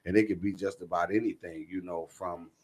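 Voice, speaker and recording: A middle-aged man with a husky voice talking earnestly and emphatically as a radio interview guest, often checking that he is understood. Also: deep voice, fairly even intonation, fairly quick, a crowd in the background. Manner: emphatic, often seeking agreement with repeated check-in phrases. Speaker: guest, stage playwright. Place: radio talk show.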